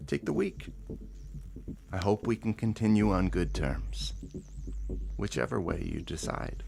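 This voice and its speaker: Man playing a firm, low-voiced company boss. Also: animated, measured pace, creaky, music in the background. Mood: cordial. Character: firm, composed male company president, stubbornly idealistic.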